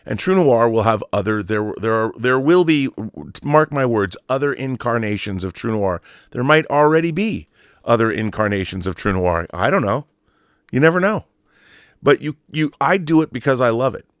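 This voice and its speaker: A man giving a casual, opinionated monologue as a YouTube host, speaking bluntly and haltingly, often repeating words for emphasis. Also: medium pitch, fairly bright tone, no echo, studio quality. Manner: blunt, sweary.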